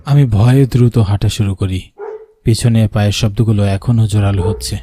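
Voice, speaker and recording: A man's voice: fairly low pitch, steady tone, brisk, background noise. A man narrating a scripted first-person horror story as the storyteller.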